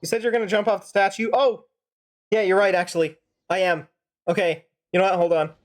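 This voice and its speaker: A woman's voice: mid-range voice, fairly even intonation, brisk. A woman streaming a game, giving casual commentary in a wry, argumentative manner.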